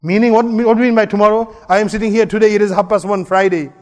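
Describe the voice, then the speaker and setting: Man, imam, Friday sermon, earnest, repeats key lines, fast delivery, long reverb of a mosque hall. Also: high voice, fairly even intonation. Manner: earnest preaching, repeats key phrases, quotes Arabic verses. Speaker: imam giving a Friday talk.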